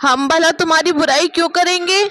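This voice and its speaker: A woman voicing a kindly, long-suffering older mother-in-law in a cartoon moral story, plaintive and gently admonishing. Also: extremely high pitch, fairly even intonation, brisk. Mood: gentle.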